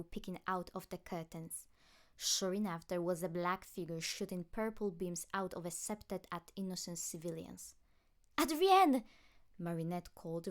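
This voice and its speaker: Woman, audiobook narrator, scripted storytelling, lowish pitch.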